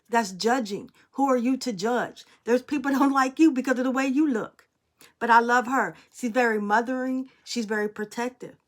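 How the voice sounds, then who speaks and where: A young adult woman speaking in a casual spiritual monologue as a spiritual reader, blunt and emphatic, swearing freely. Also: on the high side.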